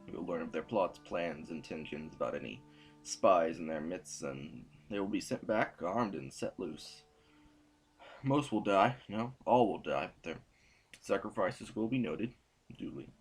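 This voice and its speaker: An actor playing a formal, ruthless and weary council sovereign in an audio drama, speaking in deliberate official phrases. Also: male voice, deep voice, lively intonation, soft background music. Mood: cold, grave.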